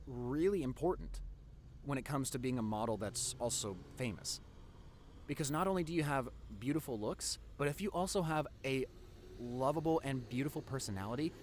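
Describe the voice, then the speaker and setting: Casting: male actor as devoted nerdy young boyfriend for audio roleplay; mid-range voice, lively intonation, earnest and teasing. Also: mid-range voice, a little hiss or hum, lightly acted.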